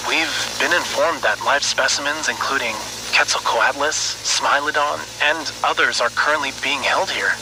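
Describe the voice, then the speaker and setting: A man's voice: mid-range voice, normal speed, lightly acted. A man playing an earnest research scientist recording a secret log, speaking thoughtfully with some hesitation on a noisy recording. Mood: uneasy, measured. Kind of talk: dramatic audio log narration.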